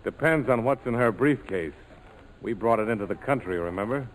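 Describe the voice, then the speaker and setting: An actor playing a cool, sardonic pilot skipper with a terse, commanding delivery in a 1940s radio drama. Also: male voice, medium pitch, expressive, a little fast, natural voice. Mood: dry, wary. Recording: noisy old 1940s radio broadcast.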